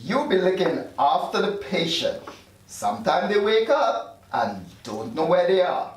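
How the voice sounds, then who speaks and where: An unruffled medical supervisor played by a man explains things in a drama read-through. The voice is fairly high and swings widely in pitch, heard across an echoey room. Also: big pitch swings, measured pace. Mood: matter-of-fact. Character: matter-of-fact male medical supervisor in the afterlife. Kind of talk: script table read. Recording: echoey room, distant mic.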